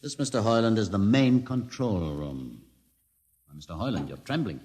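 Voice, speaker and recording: An actor playing a learned, sardonic older leader of starship outcasts, with measured, commanding speech in vintage radio drama style. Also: male voice, lowish voice, lively intonation, darkish tone, slightly rough. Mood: coolly commanding. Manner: measured, commanding, mocking courtesy.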